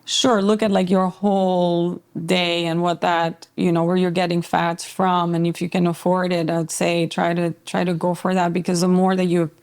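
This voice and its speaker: Albanian-born woman chatting as a guest, pretty monotone, lots of like and um, gravelly edge. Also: lowish voice, normal speed, few pauses. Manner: relaxed, rambling with frequent filler words. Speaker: podcast guest, fitness trainer. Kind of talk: casual conversational interview.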